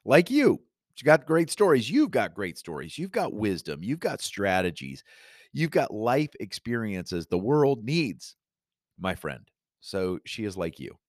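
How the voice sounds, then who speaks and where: A man's voice: fairly low pitch, big pitch swings, measured pace, no echo, clean studio sound. A man hosting his own podcast, talking with upbeat encouragement straight to the listener while fighting a cold that leaves his voice a little scratchy. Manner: enthusiastic, encouraging, addresses listener directly. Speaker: podcast host and coach. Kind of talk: upbeat solo podcast talk. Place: his studio.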